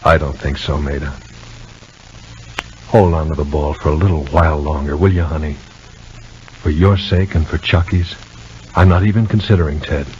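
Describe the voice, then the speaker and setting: A man acting a caring, protective brother in a 1950s radio soap opera, gently persuading in a halting, folksy way. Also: a bass voice, fairly even intonation, slight background noise. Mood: gently coaxing. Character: caring, protective brother giving homespun sporty advice. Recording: muffled 1950 radio drama recording.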